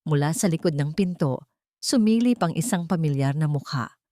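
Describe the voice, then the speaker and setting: A woman's voice: low-pitched, animated, normal speed, mellow, no room sound, clean studio sound. A woman narrating a scripted dramatic story as a storyteller and host.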